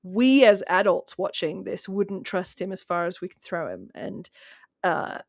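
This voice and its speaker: A woman talking casually as a guest in a film discussion, telling it in an animated way and acting out imagined remarks. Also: mid-range voice, a little slow, dry recording, studio quality.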